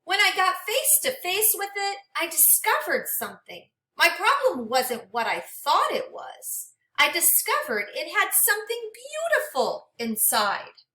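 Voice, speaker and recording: A high-pitched, very animated woman reads a storybook to children in a dramatic, teacherly way. She moves between narrating and speaking directly to her young listeners. The sound has a clearly echoey room around it. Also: measured pace, few pauses. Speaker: teacher reading a picture book aloud. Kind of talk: interactive children's read-aloud with questions to listeners. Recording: echoey room, distant microphone.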